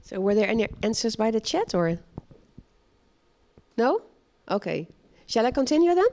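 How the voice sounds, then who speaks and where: A woman presenting a live seminar talk on culture, speaking in a measured, plain way and checking in with her audience. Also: fairly high pitch, big pitch swings, a little mellow.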